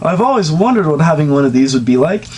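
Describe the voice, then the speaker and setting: A man voicing a brash, eager young female pony filly, exclaiming loudly in a comic reading. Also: fairly high pitch, quick pace, slightly rough, voice pushed up. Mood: thrilled. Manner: loud, excited exclaiming.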